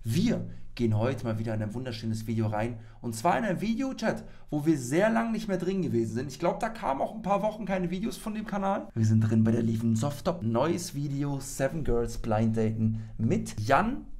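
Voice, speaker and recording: Animated male streamer, swinging pitch. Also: moderate pace. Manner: animated, colloquial, blunt. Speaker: streamer reacting to a video. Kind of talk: live reaction commentary.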